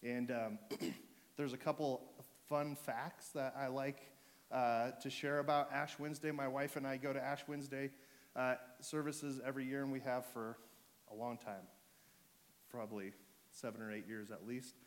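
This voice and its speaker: A man with a sore throat and a fading voice, preaching to his church in a relaxed way, full of ums and restarts. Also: mid-range voice, normal speed. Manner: joking, relaxed, with fillers and restarts. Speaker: pastor. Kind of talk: sermon.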